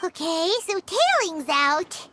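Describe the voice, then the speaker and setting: A woman voicing a tiny, excitable female companion in a video game, squeaky and exclamatory, with music behind her. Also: slow pace, a little hiss or hum, exaggerated voice. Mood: curious.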